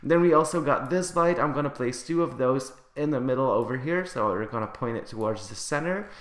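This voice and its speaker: A man casually commenting on a building game update, chatty and excitable, his voice slightly raspy from the early hour. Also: medium pitch, a little fast, reverberant. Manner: excitable, chatty, laughs often. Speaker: gaming YouTuber.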